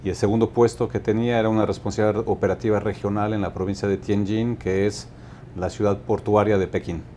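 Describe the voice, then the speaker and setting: A man in his fifties from Mexico, a company director answering interview questions in a measured, thoughtful way, often restarting his sentences. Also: fairly low pitch, little pitch movement, mellow, slight background noise. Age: 50s.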